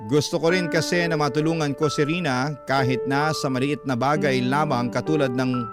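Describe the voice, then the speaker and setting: An actor playing a young man telling his love story in scripted radio narration, with a Filipino accent and a measured, sentimental manner. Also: a little high. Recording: loud music bed under voice.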